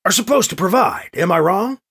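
A man's voice: a little high, big pitch swings, moderate pace, vocal fry, breathy edge, full character voice. A man voicing a flustered, inexperienced colonial governor in an audio drama, hesitant and worried. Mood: exasperated.

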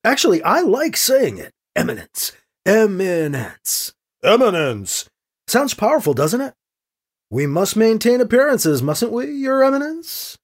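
A man's voice: high voice, wildly swooping pitch, normal speed, a bit bright, slightly rough, voice pushed up, full character voice. A man voicing a wry church agent priest in an audio drama, polite and probing with dry sarcasm. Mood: sarcastic. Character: wry, smooth-talking male priest and church agent, deferential yet sarcastic.

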